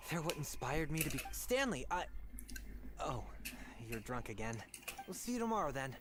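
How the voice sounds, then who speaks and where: A woman's voice: low-pitched, very animated, unhurried, pauses often, background noise. A woman voicing an eager, earnest young would-be adventurer boy in an anime game. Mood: earnest, fond. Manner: bright-eyed, earnest.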